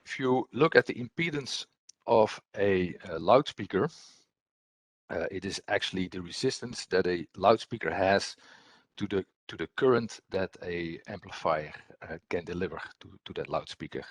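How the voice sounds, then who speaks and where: Deep, husky man presenting a technical webinar. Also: normal speed, dry recording. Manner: hesitant, repetitive phrasing. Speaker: presenter, application engineer.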